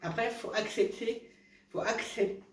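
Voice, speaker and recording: A man with a cold, chatting casually to camera at home at night, hesitant, often restarting and correcting himself. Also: high-pitched. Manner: halting, many restarts and self-corrections. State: congested with a cold. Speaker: vlogger giving home remedy tips. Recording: roomy, from a distance.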